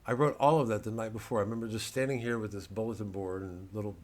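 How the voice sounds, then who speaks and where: A man with a fairly low voice talks casually, like a comedian plugging a gig to camera. The delivery wanders and digresses, with a dry, mock-earnest edge. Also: fairly even intonation, fast, darkish tone. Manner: rambling, digressive. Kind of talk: casual vlog promoting a live show.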